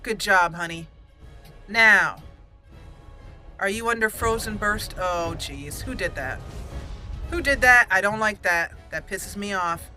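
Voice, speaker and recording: A woman's voice: mid-range voice, slow pace, pauses often, bright, forceful tone. A woman hosting a gaming channel, giving chatty, exclamatory gameplay commentary and talking to the characters as she plays. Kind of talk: casual gameplay commentary with roleplay. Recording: game music behind.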